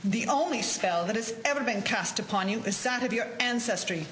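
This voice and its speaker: A woman's voice: a little high, animated, a little fast, fairly bright tone, slight background noise, low-quality recording. A woman playing a proud farm woman accused of witchcraft in a historical drama. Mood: defiant.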